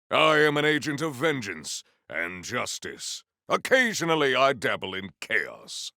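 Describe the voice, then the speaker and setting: An actor playing a grave, honour-bound warrior with wry formality and archaic, theatrical diction in a video game. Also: male voice, medium pitch, creaky, bright, forceful tone, no echo, clean studio sound, heavily acted. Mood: solemn, then wry, stern. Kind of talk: video game character voice lines.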